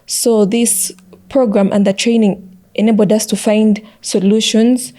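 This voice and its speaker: A woman's voice: medium pitch, flat delivery, measured pace, dark tone. A young woman giving earnest, conversational answers as a student panel guest. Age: young adult.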